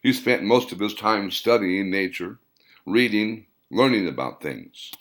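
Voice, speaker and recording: An earnest man reminisces like a practiced storyteller, voiced by a male audiobook reader. His voice is deep and unhurried. His intonation moves widely as he tells the tale. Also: expressive, fairly bright tone. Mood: earnest, reminiscing. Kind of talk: audiobook character dialogue.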